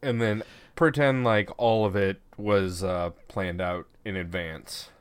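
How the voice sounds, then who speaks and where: A man's voice: low-pitched, steady tone, slow, clean tone, no echo. A man from the American Midwest co-hosting a casual, profane review podcast, talking at length in a laid-back way. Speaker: podcast co-host.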